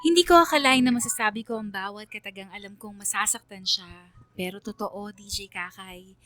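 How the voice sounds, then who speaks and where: Radio drama narrator: reflective young woman, read by an actress, mid-pitched, earnest, confessional, faint music underneath. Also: normal speed, pushed and bright, lightly acted. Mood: regretful, pensive. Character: reflective, loyal young woman telling her friendship story.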